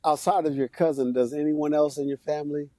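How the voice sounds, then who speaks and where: A man hosting a casual live interview from outdoors, relaxed and chatty, repeating words and laughing along with his guest. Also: a little high, a little fast, dry recording. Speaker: show host. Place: outdoors near an airport.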